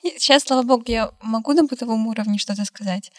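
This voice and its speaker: A young woman with a fairly high voice, a guest on a casual podcast. She talks in a lively way and laughs often between phrases. The sound is dry and close. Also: fast, dry recording. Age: young adult. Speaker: guest, law graduate studying abroad. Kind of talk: casual interview chat.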